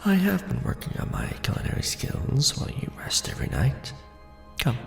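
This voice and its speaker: A man playing a courtly, brooding vampire lord in an ASMR roleplay, speaking formally and deliberately in a hushed, breathy, gravelly voice. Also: fairly low pitch, full character voice. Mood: courtly, protective. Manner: formal, hushed, deliberate, theatrical aristocratic address. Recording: roomy echo under background music. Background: music.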